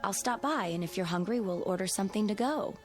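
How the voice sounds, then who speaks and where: An actress plays a practical, concerned friend in an old-time radio drama. Her mid-pitched voice is casual and reassuring, set over clear background music. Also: lively intonation, fast talker, close to an everyday voice. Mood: easygoing.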